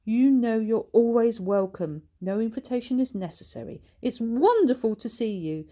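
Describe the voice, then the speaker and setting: A woman's voice: a little high, animated, moderate pace, dark tone, pitched above the performer's own voice, lightly acted. A woman voicing a wiry, grand, affectionate lady of the manor, genteel, effusive and used to giving orders, in a storybook. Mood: warmly effusive.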